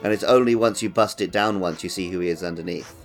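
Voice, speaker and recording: A man with a low, creaky voice talks casually as co-host of a gaming podcast. He is jokey and drops into quick mimicked quotes as he tells things. Faint music plays behind him.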